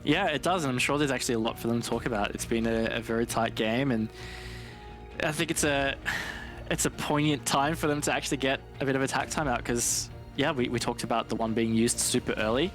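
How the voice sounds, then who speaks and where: A male esports commentator with an average-pitched voice. He offers casual, analytical live commentary, with music playing faintly behind him. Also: a little fast, a bit bright.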